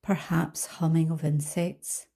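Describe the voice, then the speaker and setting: A woman leading a guided visualisation as a coach, speaking calmly and gently with soft trailing phrases. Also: sits low, takes it slowly, vocal fry. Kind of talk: guided meditation narration.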